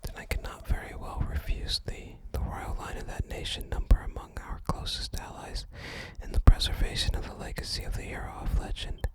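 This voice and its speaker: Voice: brisk, few pauses, natural voice, rough recording. A person whispering the part of a gloomy, reclusive shrine sage from a fantasy game, in solemn, formal, archaic phrasing. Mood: solemn, resigned.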